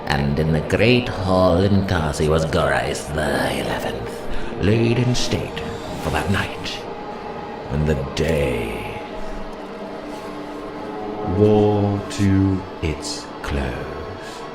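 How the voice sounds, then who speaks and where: Very deep, solemn male audiobook narrator. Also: animated. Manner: slow, solemn, archaic literary delivery. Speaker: audiobook reader. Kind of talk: dramatic audiobook narration of old fantasy prose. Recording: roomy echo, loud moody soundscape bed. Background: loud music and atmospheric soundscape.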